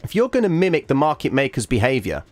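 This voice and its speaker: A man hosts a live trading stream, talking viewers through a chart and stopping often to ask "all right?" His voice is starting to go, and he sits at an average pitch for a man. The sound is dry and close, with no room echo. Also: sing-song, fast. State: losing his voice. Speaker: trading livestream host.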